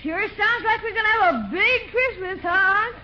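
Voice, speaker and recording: An actor playing an eager, cheeky young American boy, excitable and slangy, in a 1940s radio comedy. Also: very high-pitched, moderate pace. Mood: excited. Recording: muffled, noisy 1940s radio recording.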